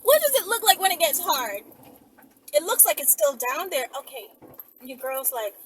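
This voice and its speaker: A woman talking casually to her viewers in a playful, mocking way, full of drawn-out rhetorical questions. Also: high voice, sing-song. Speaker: online video creator. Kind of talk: casual teasing monologue to viewers. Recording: distant mic, loud background noise.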